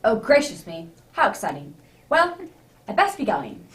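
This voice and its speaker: A woman playing a genial, easily flustered older English gentleman narrator in a radio drama, with avuncular, old-fashioned exclamations. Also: mid-range voice, huge pitch swings, normal speed. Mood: flustered, good-natured. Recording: distant microphone.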